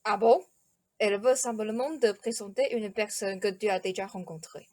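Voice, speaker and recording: A woman's voice: medium pitch, normal speed, darkish tone, natural voice. A woman playing a stern, protective king and father in a student radio play. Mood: probing.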